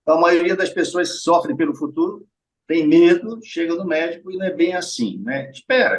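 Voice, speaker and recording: A middle-aged man with a Brazilian Portuguese accent, a heart surgeon explaining things patiently in an interview and often checking in with his listeners. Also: a little high. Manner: explains patiently, often adds tag questions and addresses listeners directly. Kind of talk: explanatory conversational interview talk.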